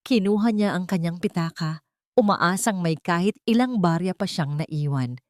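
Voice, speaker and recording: A woman reading a scripted romance drama as narrator, in a measured, solemn storytelling manner. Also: on the low side, no echo, studio quality.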